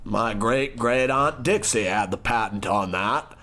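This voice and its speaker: A man's voice: mid-range voice, measured pace, bright, forceful tone. A man playing a naive, pompous, well-to-do young student in a comedic old-time radio play, prim and wordy. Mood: prim, chatty. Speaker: protagonist.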